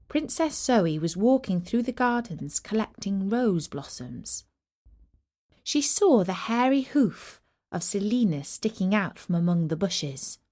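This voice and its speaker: A woman reads a children's tale with lively, swooping intonation. Her pace is slow and deliberate, each phrase carefully set out in an expressive storytelling manner. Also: medium pitch. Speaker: storyteller, narrator. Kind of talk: scripted children's storytelling narration of a Greek myth.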